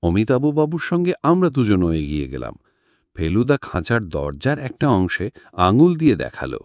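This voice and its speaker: A man reading a mystery story as a scripted audiobook narration, with an expressive, dramatic storytelling delivery. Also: on the low side, normal speed, no room sound, studio quality.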